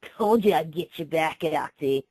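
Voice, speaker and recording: A woman's voice: low-pitched, a little slow, breathy edge, no room sound, exaggerated voice, rough recording. Woman putting on a brash, cheeky unicorn girl. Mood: snickering, triumphant. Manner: loud, cheeky, casual slang. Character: brash, teasing female unicorn DJ pony.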